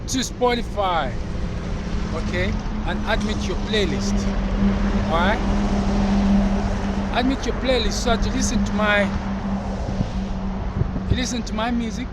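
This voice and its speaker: A man with a fairly high voice talks at an unhurried, drawn-out pace, often stopping to check in with "okay?". He's outdoors beside a busy road, and heavy traffic roars loudly behind him. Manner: slow, repetitive, keeps checking in with "okay?". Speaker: vlogger and musician. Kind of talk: casual vlog talk to camera. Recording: loud roadside traffic noise. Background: loud traffic noise.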